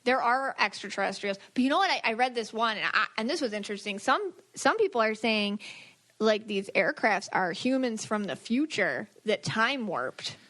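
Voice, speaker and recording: A woman's voice: on the high side, animated, normal speed, a bit bright. A woman co-hosting a podcast in casual, chatty conversation, talking informally with lots of "like". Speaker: podcast host, psychic aura reader.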